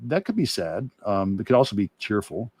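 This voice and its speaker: A man who teaches art, talking casually and thinking aloud in a halting way during a livestream demo. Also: lowish voice, sing-song, breathy edge, no echo. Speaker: art instructor and illustrator.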